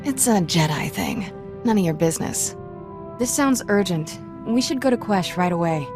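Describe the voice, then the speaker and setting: A woman's voice: fairly low pitch, animated, normal speed, music in the background, natural voice. An actress voicing a sassy young female Jedi in a video game, blunt and snappy. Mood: brisk. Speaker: Jedi companion.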